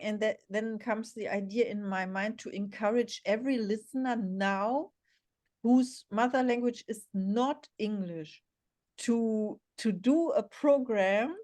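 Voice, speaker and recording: A woman podcast host with a fairly high voice whose first language is German. She asks her questions eagerly and cheers her guest on. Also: darkish tone. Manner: enthusiastic, encouraging. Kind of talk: podcast interview.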